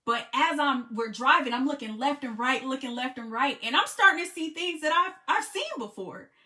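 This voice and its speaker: An American woman telling a travel story in a relaxed, conversational way, with many "you know" fillers. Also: high voice, normal speed. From United States. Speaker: travel vlogger and group trip leader. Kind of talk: casual storytelling to camera.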